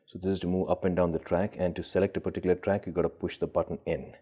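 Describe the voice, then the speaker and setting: A man's voice: deep voice, little pitch movement, quick pace, dark tone, slightly rough. A man hosting a casual gadget demonstration, thinking aloud in a hesitant, unscripted way as he tests things. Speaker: gadget collector and video host.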